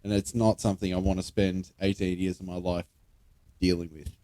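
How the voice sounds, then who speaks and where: A man's voice: sits low, little pitch movement, brisk, dark tone. A young Australian man co-hosting a podcast, bantering casually and bluntly with plenty of swearing and slang. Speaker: podcast co-host.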